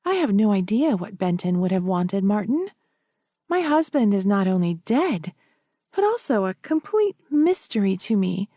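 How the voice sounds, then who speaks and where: A woman's voice: medium pitch, big pitch swings, moderate pace, warm tone, no room sound, clean studio sound. A woman voicing a guarded, troubled young widow in an audiobook, speaking with hesitant, restrained frankness. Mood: troubled, frank.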